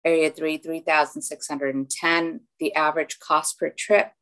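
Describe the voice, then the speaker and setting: A woman with a notably low voice reads through a report on a video call. She moves steadily from number to number and fills the gaps with soft "um"s. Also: moderate pace. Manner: steady, filler-laden figure reading. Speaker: community services staff giving a report. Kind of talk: reading a statistics report.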